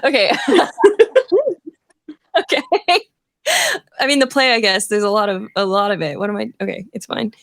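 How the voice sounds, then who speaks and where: A woman's voice: medium pitch, moderate pace, long pauses, a bit bright, no room sound. Female host, informal live stream chat, loose and apologetic, mid-range voice, dry sound. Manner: informal, apologetic, swears casually. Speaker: host relaying audience questions.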